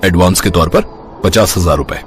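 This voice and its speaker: A man playing a wealthy, appreciative shop owner in a storybook tale, expressive and businesslike. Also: sits low, fairly quick, soft background music, close to an everyday voice. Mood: impressed.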